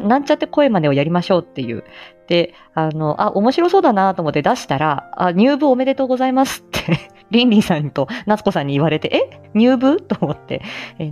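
A middle-aged woman hosts a casual livestream in a fairly low voice. Her intonation moves up and down freely as she chats in a playful, self-deprecating way. Music plays softly behind her. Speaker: livestream host.

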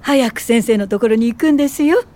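Actress as high-voiced, determined social worker. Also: female voice, fairly quick, warm tone, close to an everyday voice. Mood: caring. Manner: earnest, polite, insistent. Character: determined, compassionate woman pioneer medical social worker. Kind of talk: radio drama acting.

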